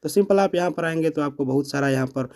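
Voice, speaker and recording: Guy doing app tutorial voiceover, talks fast, bit high voice, some background noise n clicks. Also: creaky. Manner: explanatory, repeats phrases step by step. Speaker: tutorial presenter. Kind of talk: step-by-step app tutorial voice-over.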